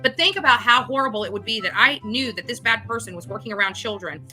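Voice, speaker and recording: A woman's voice: on the high side, quick pace, pushed and bright, soft background music, poor audio. A woman speaking off the cuff in an indignant, emphatic, self-justifying way, like a vlog host. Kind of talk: unscripted monologue to camera.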